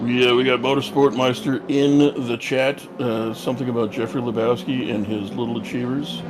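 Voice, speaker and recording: Wry male co-commentator over very loud noise. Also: medium pitch, flat delivery, moderate pace. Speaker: sim racing livestream co-commentator. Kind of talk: casual joking banter.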